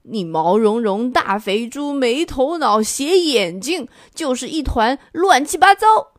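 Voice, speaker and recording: A woman voicing grumpy male monsters who shout insults through a mountain. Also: mid-range voice, sing-song, slow pace, no echo, studio quality. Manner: shouting name-calling.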